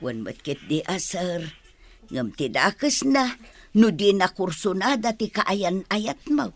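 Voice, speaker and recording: A man's voice: high voice, big pitch swings, slow, exaggerated voice. A man playing a deferential, cautious household employee in a radio drama. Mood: wary, respectful.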